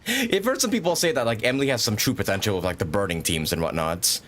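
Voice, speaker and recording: A man's voice: on the low side, expressive, fast, a bit bright, slight background noise. A man hosting a livestream with casual, rambling gaming commentary and frequent chatty asides. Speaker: livestream host.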